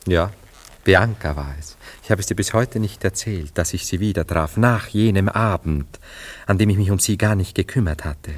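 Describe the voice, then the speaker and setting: A low-voiced, dreamy romantic lover. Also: male voice, normal speed. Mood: confiding. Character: dreamy romantic young man, self-absorbed melancholic lover. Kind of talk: German radio play acting, literary dialogue.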